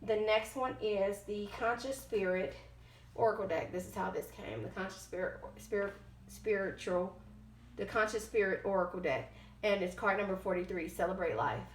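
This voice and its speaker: A woman with a medium-pitched voice gives an informal oracle card reading in a roomy, echoing space. She moves between reading aloud and ad-libbing, haltingly, often tripping on a word and correcting herself. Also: a little hiss or hum. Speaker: tarot card reader, host.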